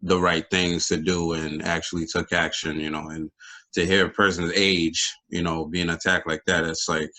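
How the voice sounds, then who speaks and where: A man's voice: low voice, flat delivery, moderate pace, sharp tone. A man co-hosting a sports podcast, talking casually in a laid-back way. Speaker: podcast co-host. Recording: faint music behind.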